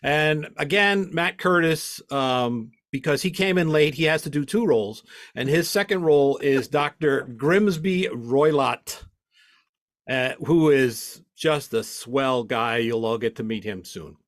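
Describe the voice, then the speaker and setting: A man hosting a live show, introducing the cast casually with jokes and drawn-out emphasis. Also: medium pitch, dry recording, studio quality. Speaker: host.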